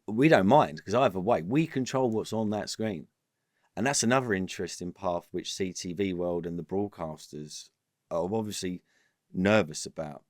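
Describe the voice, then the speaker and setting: A low-voiced man talks business on a podcast, animated and rambling, often restarting his sentences. The sound is close and dry, with no room around it. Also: no echo. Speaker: podcast guest, company executive. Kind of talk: casual business interview.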